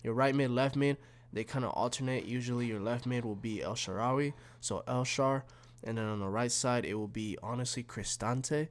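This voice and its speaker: A man with a slightly raspy, snuffly voice from being sick, casually walking through football game tactics with lots of fillers and restarts. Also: mid-range voice, fairly even intonation, normal speed. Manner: rambling, many fillers and restarts. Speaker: gaming YouTuber.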